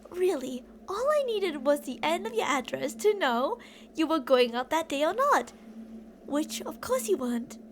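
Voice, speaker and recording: A woman's voice: high voice, very animated, a little slow, slight background noise. A woman playing an obsessive, delusional female kidnapper who rambles theatrically and teasingly to a captive in an ASMR roleplay. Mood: matter-of-fact rambling.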